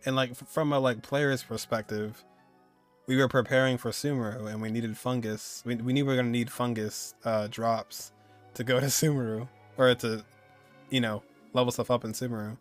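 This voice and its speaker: A man's voice: medium pitch, fairly even intonation, moderate pace, soft background music. A man in his twenties giving casual, joking, slangy reaction commentary as he streams a video game. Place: indoors, streaming at a desk.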